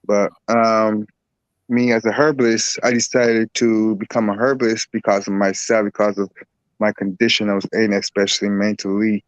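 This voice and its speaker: A man from Barbados, a guest herbalist and boxer, talking in a relaxed, rambling way with lots of repeats. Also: lowish voice, moderate pace, dry recording, low-quality recording. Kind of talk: casual interview talk.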